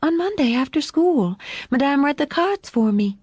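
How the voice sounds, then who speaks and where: A woman's voice: high-pitched, animated, normal speed, a little mellow, no room sound, clean studio sound, voice pushed up. A woman voicing an eager, trusting young girl as a character in an audiobook. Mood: earnest. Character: young girl, eager, trusting, easily upset, loving daughter.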